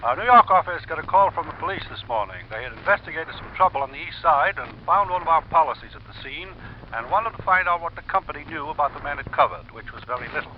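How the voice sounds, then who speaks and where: An actor playing a brisk, courteous male insurance executive in a 1950s radio drama. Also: a little high, lively intonation, close to an everyday voice. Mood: brisk, businesslike. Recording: muffled old radio, faint noise.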